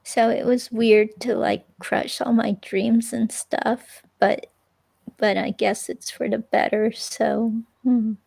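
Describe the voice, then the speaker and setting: A woman's voice: a little high, flat delivery, slow, gravelly, darkish tone, no echo, exaggerated voice. A woman playing a forlorn young ex-girlfriend in a police interview, rambling hesitantly and trailing off in a dramatic transcript reading. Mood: forlorn, wistful.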